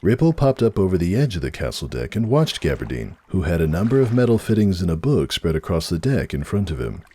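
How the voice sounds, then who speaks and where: A man's voice: low voice, big pitch swings, brisk, mellow, slightly rough. A man reading a story aloud as narrator in a dramatic, scripted narration style.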